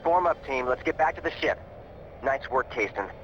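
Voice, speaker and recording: Casting: male actor as young sci-fi game squadron leader, earnest hero type, very expressive range, lo-fi sound. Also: medium pitch, normal speed, noisy background, natural voice. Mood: warm, sincere. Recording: dull lo-fi game audio, music behind.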